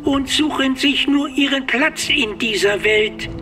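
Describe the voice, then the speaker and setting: An actor voicing an eerie, solemn figure who speaks slowly for strange beings. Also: male voice, very high-pitched.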